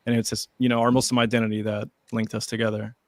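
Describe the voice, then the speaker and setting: A man in his thirties, born in Iraq and raised in the United States, answers interview questions in a steady, even tone. His voice is dry and close, with no room sound, and he slips into friendly, chatty storytelling. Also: on the low side, quick pace, no room sound. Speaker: guest. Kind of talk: conversational interview answers over video call.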